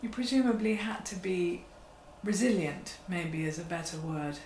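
Soft-spoken woman interviewer. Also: on the low side, expressive, moderate pace, slight background noise. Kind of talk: gentle interview questions. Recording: roomy with faint ambience.

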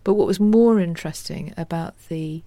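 A woman historian explaining her subject as an interview guest in a measured, scholarly manner. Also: fairly low pitch, dark tone.

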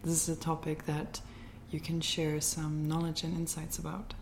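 Woman podcast host, slightly low voice, easygoing interviewer, light background hiss. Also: completely flat delivery, normal speed, creaky. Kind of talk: casual interview.

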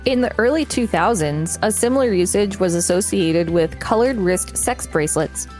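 A woman's voice: medium pitch, animated, moderate pace, darkish tone, soft background music. Woman reading aloud as podcast co-host. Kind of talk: reading an article aloud with casual asides.

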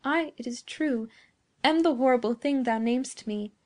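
A sorrowful young woman, as a woman narrator acts her out in a dramatic storybook tale. The voice is fairly high, tender and plaintive, with gentle, pleading archaic phrasing. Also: moderate pace, lightly acted. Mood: calm grief.

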